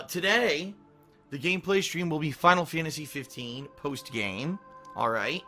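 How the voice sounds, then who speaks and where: A male streamer with a hoarse, worn voice, casually running through his stream schedule, often clearing his throat and checking in with "okay?". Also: fairly high pitch, bright, forceful tone. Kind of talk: casual pre-stream schedule talk to chat.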